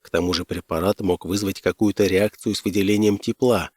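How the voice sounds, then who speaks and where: A male audiobook narrator with a fairly low voice reads first-person fiction. The delivery is scripted storytelling, recorded dry with very little room sound. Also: steady tone, brisk, a little mellow, no echo.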